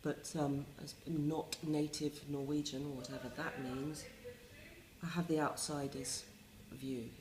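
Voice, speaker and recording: A middle-aged English woman, a resident playwright, talking reflectively in a wry, self-mocking way with many pauses and restarts. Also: low-pitched, little pitch movement, roomy sound, background noise. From England. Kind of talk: reflective interview talk.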